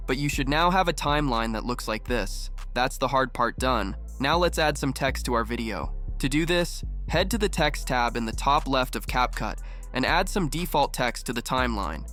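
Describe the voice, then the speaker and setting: A man narrating a screen-recording tutorial, giving steady step-by-step instructions as the channel's host. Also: mid-range voice.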